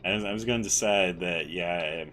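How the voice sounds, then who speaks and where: Low mellow male streamer. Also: normal speed, slight background noise. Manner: mellow, fairly monotone, relaxed; rambling, self-correcting. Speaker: game streamer. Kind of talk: casual gameplay commentary.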